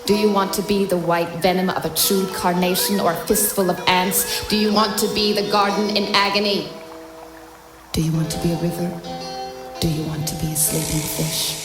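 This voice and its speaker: A woman reading surreal poetry aloud in a slow, measured, incantatory way. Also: on the low side, fairly even intonation. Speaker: poetry reader. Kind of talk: spoken-word poetry reading. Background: music soundscape.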